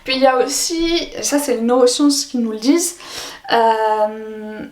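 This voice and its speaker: A young woman language teacher explaining her views to camera, thoughtful, pausing often on fillers. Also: a little high, darkish tone, roomy sound. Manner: thoughtful, hesitant with frequent fillers. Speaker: French as a foreign language teacher.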